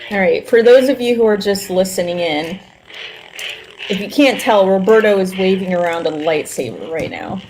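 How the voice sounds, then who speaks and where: A woman's voice: mid-range voice, sing-song, normal speed, noisy background. A woman co-hosting a podcast, chatting in a casual, conversational way. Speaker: podcast co-host.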